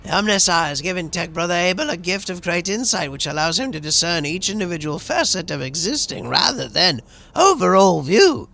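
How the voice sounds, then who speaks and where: A zealous cyborg holy man from a video game. The voice sits high, with a gravelly creak and a sharp, forceful edge. He preaches in solemn, formal, ceremonious phrases. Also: sing-song, fairly quick, heavily acted. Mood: reverent. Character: solemn zealous cyborg priest, guardian of a sacred monastery. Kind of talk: theatrical video game dialogue.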